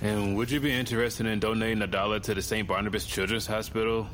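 Actor as a low-voiced, polite pizza delivery guy. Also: fairly even intonation, fast, fairly bright tone, a little hiss or hum, lightly acted. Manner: polite, scripted customer service. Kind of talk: audio drama acting.

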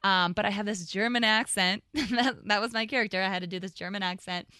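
A woman co-hosting a radio show, telling stories in giggly, animated casual chat from a studio. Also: mid-range voice, brisk, clean tone, no echo.

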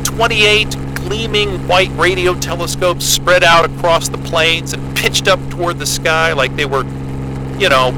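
A man's voice: on the high side, lightly acted. A man playing an eager, geeky American astrophysicist in an audio drama, speaking in an earnest, wordy, naturalistic way. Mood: awed, nostalgic. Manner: earnest, wordy, enthusiastic explainer. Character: eager, geeky male astrophysicist starting a solo Antarctic posting. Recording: loud engine hum of a helicopter cabin.

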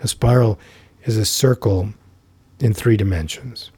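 A middle-aged man guesting on a podcast, telling a reflective story in an unhurried, thoughtful way with many fillers and restarts. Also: on the low side, darkish tone, husky, no room sound. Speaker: podcast guest, tai chi teacher.

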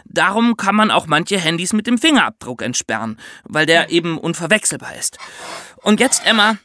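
A man's voice: high-pitched, lively intonation, normal speed, bright, forceful tone, no echo, clean studio sound. A male co-host of a children's podcast, explaining things instructively and addressing young listeners directly.

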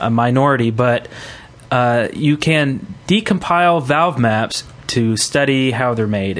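Casual man hosting a podcast. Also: medium pitch. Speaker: podcast host. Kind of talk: casual gaming podcast chat.

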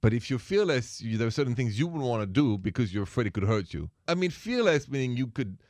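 A man's voice: mid-range voice, big pitch swings, quick pace, darkish tone, dry recording, studio quality. Charming man with a French accent. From Paris, France. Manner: charming, romantic, laughs easily. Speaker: radio co-host. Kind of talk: radio call-in show hosting. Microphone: studio microphone.

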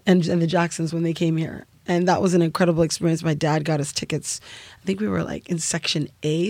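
A woman's voice: on the low side, darkish tone, no echo. A middle-aged woman from Canada, a singer telling her story in an interview, chatty with many fillers and laughs. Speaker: singer guest.

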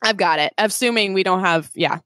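A woman's voice: mid-range voice, fairly quick, sharp tone, clean tone, no echo, clean studio sound. A woman in her twenties, a finance coach and guest co-host, chatting eagerly with fillers like "um" and "uh". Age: 20s. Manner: enthusiastic, with many fillers. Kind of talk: casual podcast chat.

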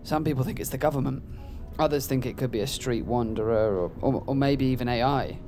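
Halting, wry male friend played by an actor. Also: mid-range voice, music in the background, close to an everyday voice. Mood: curious, casual. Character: apologetic, joking, protective male friend of a troubled woman. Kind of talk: naturalistic audio drama dialogue.

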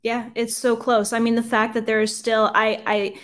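A woman's voice: on the high side, little pitch movement, normal speed, a bit bright. A woman hosting a political interview, speaking emphatically and repeating her points for stress. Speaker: host.

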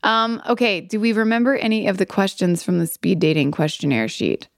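An enthusiastic woman in her forties co-hosts a podcast in easy, casual chat. She laughs readily and reacts warmly to her partner. Her mid-range voice sounds dry and close. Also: mid-range voice, lively intonation, brisk, gravelly, no echo. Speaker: podcast co-host, actress.

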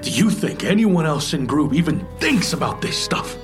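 An actor in an audio drama playing an intense, wounded trauma survivor, speaking in an earnest, insistent, confessional way. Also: male voice, on the high side, very animated, moderate pace, music in the background. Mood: agitated, scornful. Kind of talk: naturalistic audio drama acting.

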